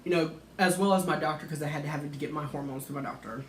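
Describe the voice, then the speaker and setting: A soft-spoken woman vlogger in casual, sassy chat, talking about herself. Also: low-pitched, very fast. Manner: chatty, sassy, animated. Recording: echoey room with background noise.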